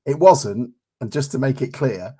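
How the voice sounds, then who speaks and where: A man's voice: mid-range voice, lively intonation, measured pace, warm tone, slightly rough. An English man co-hosting a football podcast, chatting casually with blunt, jokey banter. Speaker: podcast co-host. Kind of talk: casual chat.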